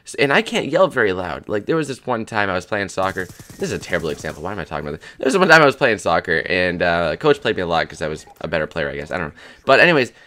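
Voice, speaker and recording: A hoarse young man in his twenties rambling crudely and jokily as the host of a gaming channel. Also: low-pitched, big pitch swings, rapid-fire, bright, forceful tone. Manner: rambling, crude, jokey, lots of "dude" and "man". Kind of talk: casual rambling commentary over gameplay.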